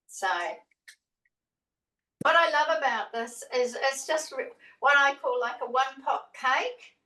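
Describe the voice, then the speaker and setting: A woman's voice: high-pitched, slow pace, pauses often, bright, forceful tone, far from the mic. A woman giving a casual cooking demonstration over a video call, chatty and rambling, correcting herself as she goes. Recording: roomy, from away from the mic.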